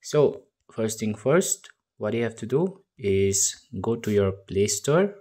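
A man narrating a casual step-by-step tech tutorial in a halting way, often checking in with the listener. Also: fairly low pitch, big pitch swings, darkish tone, breathy edge.

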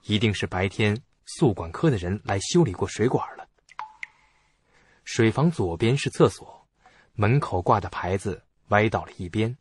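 An actor narrating a suspense audio drama in the first person as a curious, stubborn young student, with expressive, suspenseful delivery. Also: male voice, fairly low pitch, moderate pace, slight background noise, natural voice. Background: music behind the voice.

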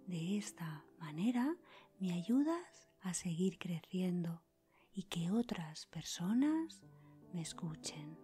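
A woman whispering a scripted guided meditation in a soft, breathy, calm voice, leaving pauses between short phrases as a podcast host. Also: on the low side. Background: music.

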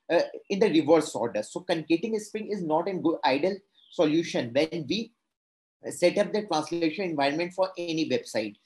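A young man from India with an Indian English accent, a native Hindi speaker, giving an earnest, halting conference talk over a video call from a room at home. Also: fairly high pitch, a little mellow, low-quality recording. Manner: earnest, halting with restarts and fillers. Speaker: panelist presenting.

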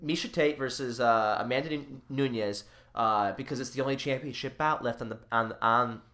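A man co-hosting a casual podcast, excitable and prone to repeating phrases for emphasis. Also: medium pitch, normal speed. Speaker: podcast co-host.

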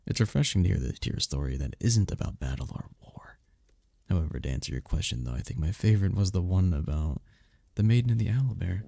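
A man voicing a seductive, possessive demon prince in an ASMR roleplay, with a husky, gravelly voice and a regal, flirtatious manner. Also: deep voice, animated, mellow, no room sound, clean studio sound, exaggerated voice. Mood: musing, charmed. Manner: regal, slow-savouring, flirtatious.

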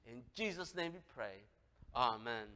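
Cambodian-accented guy preaching on a headset mic, loud then hushed, long echo in the sanctuary. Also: mid-range voice, a little slow. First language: Khmer. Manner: animated, gesturing, swings from loud exclamation to soft. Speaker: pastor. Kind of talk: sermon. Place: church sanctuary, large, indoors. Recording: long hall reverb.

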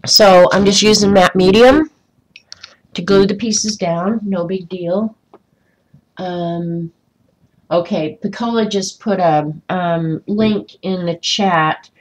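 A woman's voice: fairly low pitch, takes it slowly, poor audio. A woman with a stuffy, congested voice chatting casually to viewers on a live craft stream, rambling with many ums. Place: indoors at a craft desk.

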